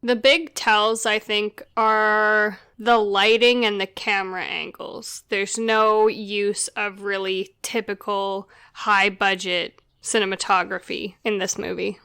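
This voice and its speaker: Bright, smooth-voiced woman chatting about films. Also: mid-range voice, little pitch movement, takes it slowly, few pauses, dry recording. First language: not Portuguese. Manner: reflective, thinks aloud with restarts. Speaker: podcast co-host. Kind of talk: casual film discussion.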